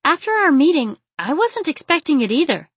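A woman's voice: sits high, sing-song, brisk, dry recording, voice pushed up. A woman voicing a tipsy, emotional young woman in an audiobook, with a dramatic, pleading manner. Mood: uneasy. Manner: dramatic, exclamatory. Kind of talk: audiobook character acting.

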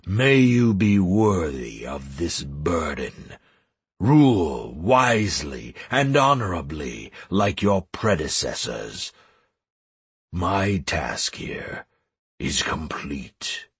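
A man voicing an ancient male dragon in an audiobook, solemn and commanding, pronouncing slow, ponderous decrees. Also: deep voice, big pitch swings, breathy edge, dry recording, pitched below the performer's own voice, heavily acted.

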